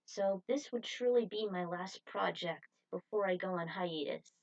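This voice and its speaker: A woman's voice: fairly low pitch, normal speed, dull audio. Woman reading out her own fanfic, just telling the story as narrator. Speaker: fan fiction author and narrator. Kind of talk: reading own fan fiction story aloud.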